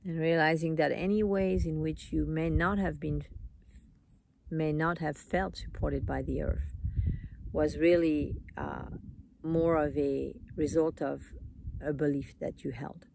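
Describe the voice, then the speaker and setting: A meditation teacher leading a live guided meditation in an unhurried, soothing manner, in short phrases with long pauses. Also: mid-range voice, steady tone, creaky, a little mellow, a little hiss or hum.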